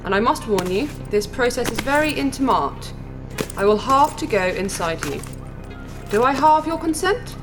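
A woman playing a massive, blunt insectoid alien princess in a sci-fi audio drama, speaking in a formal, deliberate, regal way with odd vowel sounds. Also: a little high, lively intonation, over music, natural voice. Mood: solemn.

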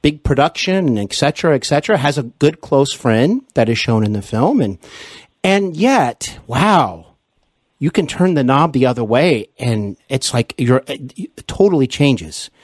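A man hosting an interview show, talking conversationally in an effusive, deliberate manner. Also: medium pitch, sing-song, dry recording, clean studio sound.

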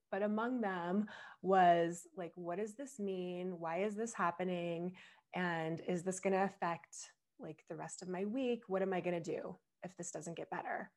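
A young adult woman hosting a solo podcast. She explains things in a reflective way, laughs now and then, and her voice is slightly husky from strain. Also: mid-range voice, darkish tone. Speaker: podcast host.

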